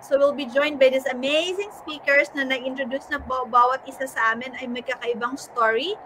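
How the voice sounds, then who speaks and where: A woman's voice: sits high, fairly even intonation, brisk, background noise. A woman with a Filipino accent hosting a motivational live online session as a coach, encouraging, mixing Tagalog and English. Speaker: host and coach. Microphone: online video call.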